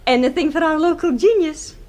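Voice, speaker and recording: An actress playing an obliging, playful library worker in a radio drama, with a coy and eager manner. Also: female voice, high voice, brisk, darkish tone, natural voice. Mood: curious, coy.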